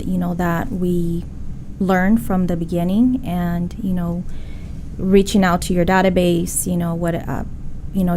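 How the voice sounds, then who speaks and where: A woman's voice: lowish voice, gravelly, warm tone, slight background noise. A woman whose first language is Spanish, a real estate broker speaking as an interview guest, full of "you know" fillers. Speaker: designated broker.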